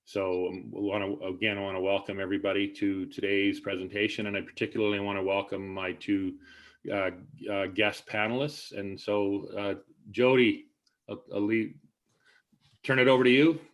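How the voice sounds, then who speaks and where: Low-voiced man hosting a webinar. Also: level tone. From Canada. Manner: halting, many fillers and restarts. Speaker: webinar host. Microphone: video call.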